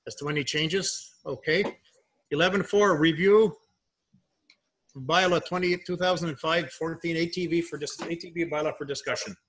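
A man's voice: fairly high pitch, moderate pace, fairly bright tone, breathy edge. A man speaking informally as mayor, chairing a council meeting over a video call. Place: remote video call from a room.

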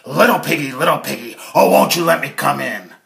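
A man voicing a bullying big bad wolf in a storybook reading, loud, drawn-out and taunting. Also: a little high, lively intonation, creaky, roomy sound, a crowd in the background, voice pushed up. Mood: coaxing. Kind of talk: storybook character voice.

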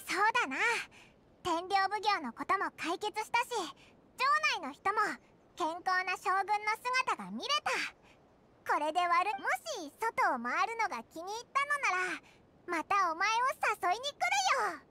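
A woman voicing a small, cheerful fairy companion in a Japanese anime game, with a squeaky childlike voice and blunt, chirpy speech. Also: expressive, normal speed, sharp tone, a little hiss or hum, heavily acted. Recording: music behind the voice. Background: game music.

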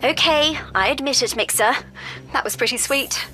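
A woman voicing a composed, articulate mission handler in a video game, with a measured, dryly amused manner. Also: sits high, sharp tone, shouting. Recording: music and game sounds behind.

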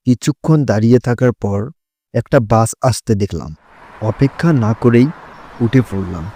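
A man's voice: mid-range voice, normal speed, mellow, slight background noise. A man narrating a scripted horror story in the first person.

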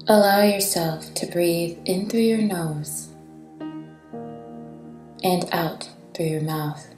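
Female meditation narrator, lowish and gentle, very unhurried delivery, reverberant sound. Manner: soothing, gentle, deliberate with long pauses. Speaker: meditation guide. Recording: reverberant, distant-sounding voice over soft music.

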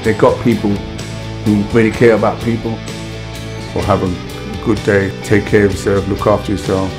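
Middle-aged guy, lowish voice, street-casual, music under him. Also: flat delivery, normal speed. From London. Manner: candid, street-casual talk. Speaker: project member, musician. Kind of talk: documentary interview. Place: indoor art room.

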